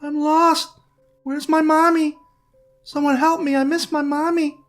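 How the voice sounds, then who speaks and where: A man voicing a small hungry vampire girl with a high, sobbing child's voice. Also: flat delivery, unhurried, fairly bright tone, faint music behind.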